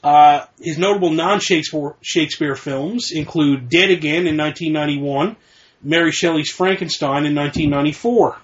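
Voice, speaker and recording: A man with a slightly high voice hosts a podcast in a loose, casual chat, reading out lists from his notes and correcting his own slips as he goes. He rambles a bit and laughs easily at himself. The recording is muffled and lo-fi. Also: normal speed. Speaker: podcast host.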